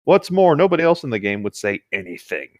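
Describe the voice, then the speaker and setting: A man's voice: medium pitch, very animated, fast, darkish tone, no room sound. A man reading a story aloud as co-host in a casual, conversational way, with dry asides.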